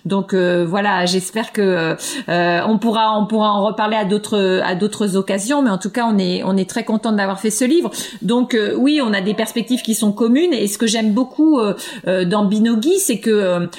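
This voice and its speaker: Woman, researcher, hesitant, many fillers, mid pitch. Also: moderate pace, darkish tone. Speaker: education researcher. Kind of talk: interview answers.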